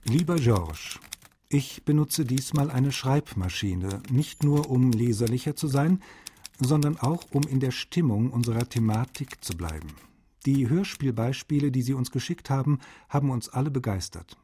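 A man reading a letter aloud in a scripted, measured documentary style. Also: medium pitch, animated, dark tone, a little hiss or hum.

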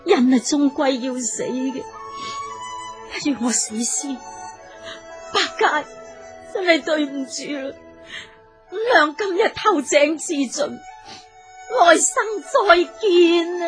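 A woman's voice: high voice, lively intonation, slow, pauses often, soft background music. A woman playing a devoted, desperate young daughter-in-law in a Cantonese radio folk drama, tearful and pleading in a deferential way. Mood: despairing.

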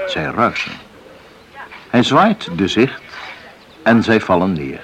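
An actor narrating a rural radio play as a solemn, poetic storyteller in a measured, husky voice. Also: male voice, on the low side, slight background noise.

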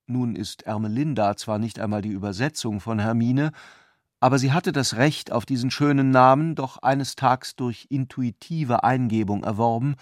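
A man reading scripted literary narration for a radio play in a measured, articulate way. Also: mid-range voice, steady tone, no echo, studio quality.

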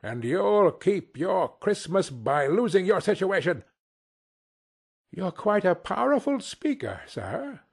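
Man acting a grumpy, scornful old miser, gravelly. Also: high voice, expressive, unhurried, few pauses, voice pushed up, full character voice. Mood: sternly sarcastic. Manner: indignant, stern, dismissive.

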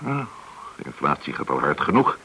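An actor in a radio play as a courteous, probing government agent, explaining things with some hesitation. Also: male voice, a bass voice, very animated, moderate pace, bright, forceful tone, breathy edge, heavily acted. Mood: grave, explaining. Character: polite, probing male government agent recruiting an informant.

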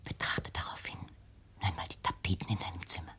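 An actress in a radio play playing an anxious, controlling mother, hushed and insinuating, with a husky, breathy voice. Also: a bass voice, level tone, a little fast, whispered, exaggerated voice. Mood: eerie, conspiratorial.

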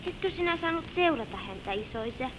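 A curious little girl with a high, swooping voice, eager and earnest, childlike in every question and remark. It is vintage radio drama acting on a slightly noisy old recording. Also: animated, highs cut off. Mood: wondering, wistful. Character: eager, curious little granddaughter listening to stories.

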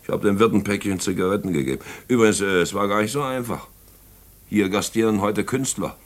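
Actor as low-voiced, easygoing male sidekick. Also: fairly quick, natural voice. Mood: matter-of-fact. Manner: casual, colloquial. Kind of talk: radio play acting.

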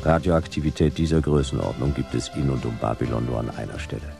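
A hardboiled male private eye with an extremely deep, creaky voice. He narrates tersely and drily, world-weary, in noir radio drama style over music. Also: fast talker. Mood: dry, weary. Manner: terse, dry, deadpan.

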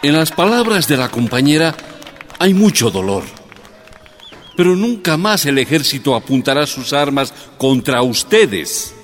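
An actor playing a sympathetic, resolute populist general and president giving a solemn speech in a radio drama. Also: male voice, fairly high pitch, sing-song, unhurried. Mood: solemn, reassuring. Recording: reverberant hall, faint music behind.

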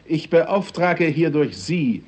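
A wily prime minister in an old radio drama. His voice sits fairly high for a man, and he speaks with formal, commanding, officious authority. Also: expressive, takes it slowly, darkish tone. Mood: commanding, suave. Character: smooth, cunning, commanding male prime minister. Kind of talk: 1960s German radio drama acting.

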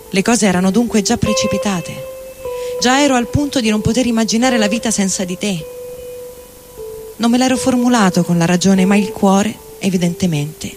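A man's voice: high-pitched, natural voice. A man acting a tormented middle-aged lover in an anguished, literary theatrical monologue. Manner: anguished, dramatic, literary delivery. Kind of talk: theatrical literary monologue reading over music. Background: electric guitar and loops.

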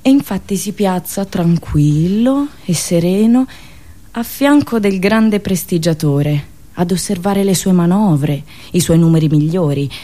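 A woman's voice: fairly low pitch, animated, a little slow, dark tone. A woman with a central Italian accent telling a satirical story as a theatrical, ironic radio monologue. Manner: theatrical, ironic, with dialect asides.

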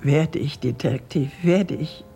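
A woman's voice: low voice, slow pace, creaky, warm tone, soft background music, heavily acted. A woman playing an intense, cryptic fortune-teller in a German radio drama, speaking in portentous pronouncements. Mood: ominous, knowing.

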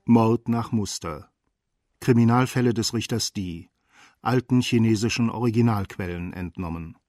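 A man's voice: on the low side, normal speed, darkish tone, no echo, faint music behind. Dry-sounding male radio play announcer. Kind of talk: scripted credits announcement.

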